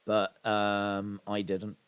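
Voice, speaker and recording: A man's voice: lowish voice, monotone, extremely slow, clean tone. Chatty, rambling man hosting a watch-along. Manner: rambling, chatty, self-deprecating asides. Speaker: live stream host. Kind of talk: casual watch-along chat reading viewer comments.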